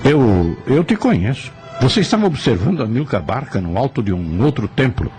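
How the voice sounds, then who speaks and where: A man's voice: mid-range voice, big pitch swings, moderate pace, darkish tone, close to an everyday voice. A man playing a curious seeker of ancient history in a scripted radio drama. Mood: astonished. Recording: faint music under the voice.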